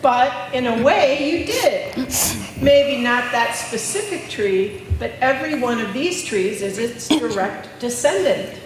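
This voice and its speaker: A woman's voice: fairly high pitch, animated, takes it slowly. A woman as an amazed villager, very echoey. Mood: reassuring. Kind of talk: amateur readers theater. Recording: very echoey room, clear background noise.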